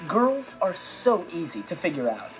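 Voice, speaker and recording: A man's voice: fairly high pitch, huge pitch swings, normal speed, poor audio. An actor playing a cocky, laid-back teenage boy with an American accent in breezy comedic monologues. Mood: smug. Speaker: sitcom lead. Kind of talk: scripted comedic monologue to camera. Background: music.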